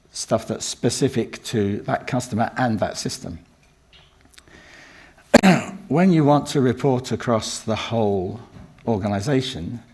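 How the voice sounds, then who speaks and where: An older man with a croaky voice from a cold, giving a rambling conference talk full of ums and restarts. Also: lowish voice, darkish tone. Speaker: conference speaker. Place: conference room.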